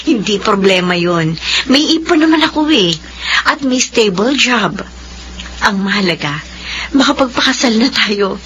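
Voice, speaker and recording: A woman's voice: mid-range voice, lively intonation, a little slow, pauses often, fairly bright tone, slight background noise. An actress playing a devoted, determined woman of thirty-three in a radio drama, earnest and emotive. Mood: sweet, eager. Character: devoted, determined 33-year-old woman in love. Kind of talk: Filipino radio drama acting.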